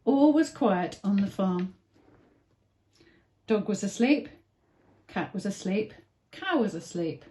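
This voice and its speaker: A woman's voice: medium pitch, lively intonation, slow pace, long pauses, dark tone, slight background noise. A woman reading a picture book aloud to young children with simple phrasing and dramatic emphasis. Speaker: early years story reader.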